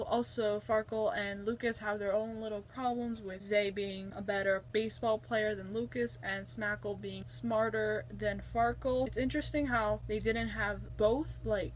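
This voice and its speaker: A woman's voice: a little high, a little hiss or hum, low-quality recording. A young woman from Canada, chatting casually to camera as she recaps a TV episode, rambling and gesturing as she talks, in a small room on a built-in camera mic. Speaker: vlogger reviewing a TV episode. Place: small room indoors, curtained window.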